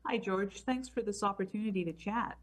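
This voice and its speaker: A woman's voice: medium pitch, fairly quick. A woman from San Francisco, a community activist, speaking as a guest in a hesitant way with many fillers. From San Francisco, United States. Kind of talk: conversational interview answers.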